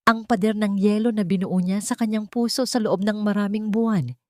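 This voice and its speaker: A woman with a Filipino accent narrating a scripted dramatic story in a measured, earnest, sentimental way. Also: medium pitch, mellow, dry recording, studio quality.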